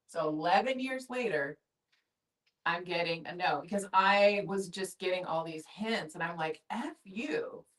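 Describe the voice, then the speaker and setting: A woman's voice: lowish voice, unhurried. A middle-aged woman chatting casually and candidly in a group discussion, in an effusive, informal way. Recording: distant room microphone.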